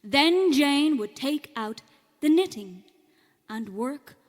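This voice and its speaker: An actress with an Irish accent playing a nervous, game audience member, haltingly reading a script aloud on stage. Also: female voice, high-pitched, animated. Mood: uneasy storytelling. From Ireland. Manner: hesitant, halting. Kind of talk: live storytelling performance, reading from a script. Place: on stage at a live cellar event. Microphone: stage microphone.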